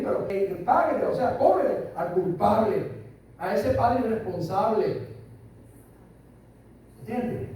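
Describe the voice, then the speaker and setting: Older Puerto Rican preacher, high and mellow. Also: male voice. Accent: Puerto Rican Spanish. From Puerto Rico. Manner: emphatic, repeats phrases, asks listeners "¿entiendes?". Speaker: pastor. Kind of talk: sermon. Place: church hall. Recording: echoey hall, voice from far off, faint room noise.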